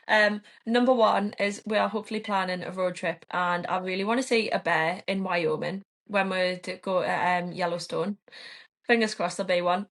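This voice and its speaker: A woman's voice: mid-range voice, gravelly, fairly bright tone. A young woman, co-hosting a podcast in upbeat, giggly chat. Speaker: podcast co-host. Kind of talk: casual chat.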